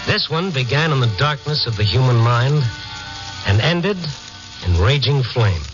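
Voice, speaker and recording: An actor playing a world-weary, wry American newspaper reporter, narrating a 1950s radio noir drama in a moody, hard-boiled manner. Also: male voice, mid-range voice, lively intonation, unhurried, natural voice. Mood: brooding. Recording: muffled old radio recording with music.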